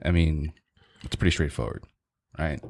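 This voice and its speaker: A man's voice: extremely low pitch, normal speed, vocal fry, a little mellow. A man co-hosting a podcast, speaking hesitantly with many fillers. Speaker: podcast co-host. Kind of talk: casual podcast chat.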